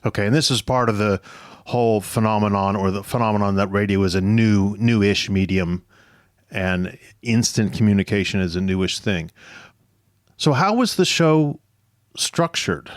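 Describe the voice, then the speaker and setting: A man's voice: fairly low pitch, moderate pace, no echo, clean studio sound. A man hosting an interview show, asking questions in a conversational way. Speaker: podcast host interviewing experts.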